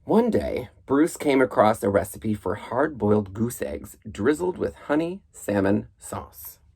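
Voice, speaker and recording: A man reading a children's book aloud in an expressive, playful storybook style, with a husky voice. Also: on the low side, a little slow. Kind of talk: animated storybook read-aloud.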